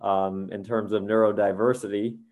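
A man's voice: fairly low pitch, little pitch movement, moderate pace, darkish tone. A man co-hosting a podcast, speaking thoughtfully and encouragingly in casual chat, with some hesitation. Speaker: podcast co-host.

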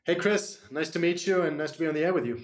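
Interview guest: man with a German accent, somewhat high-pitched, halting delivery full of "uh"s. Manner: hesitant, many fillers and restarts. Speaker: guest, startup CEO. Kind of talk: radio interview.

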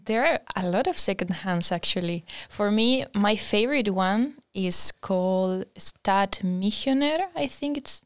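A woman's voice: medium pitch, lively intonation, measured pace, hardly any pauses, clean tone, no echo. Young woman from Spain, native Spanish, easygoing guest, dry close sound. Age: young adult. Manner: laughs easily, hesitant phrasing. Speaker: guest, design master's student. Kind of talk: casual podcast chat.

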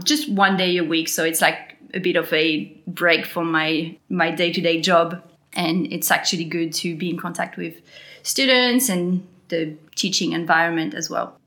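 A woman's voice: on the low side, a bit bright. A woman in her thirties from New Caledonia with a French accent, chatting casually as a podcast guest and laughing often. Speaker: podcast guest, graphic designer. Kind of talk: casual interview conversation.

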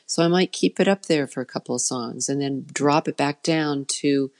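A middle-aged woman with a soft voice, a children's musician chatting casually in an interview and often trailing off and restarting. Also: low-pitched, normal speed.

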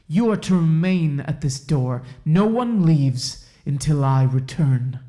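An actor playing a self-important, stammering young sheriff in a colonial period audio drama. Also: male voice, fairly high pitch, expressive, slow, warm tone. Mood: indignant, officious. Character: indignant, officious, awkward young male colonial sheriff.

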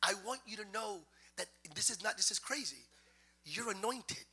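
A man preaching a sermon to a congregation, moving from quiet teaching to loud, half-sung exhortation. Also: sits high, lively intonation, moderate pace, husky. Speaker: pastor. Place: church sanctuary.

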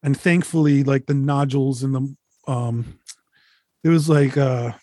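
A man in his forties, a touring musician, telling candid road stories in a relaxed, rambling way, swearing freely. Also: medium pitch, unhurried, no echo. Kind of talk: casual interview, candid storytelling.

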